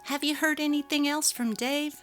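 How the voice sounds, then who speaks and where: A woman's voice: high-pitched, steady tone, moderate pace, a bit bright, pitched above the performer's own voice. Affectionate sister played by a woman. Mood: sympathetic. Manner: familiar, affectionate. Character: affectionate, upbeat adult sister. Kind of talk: dramatic reading of a text-message story. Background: music.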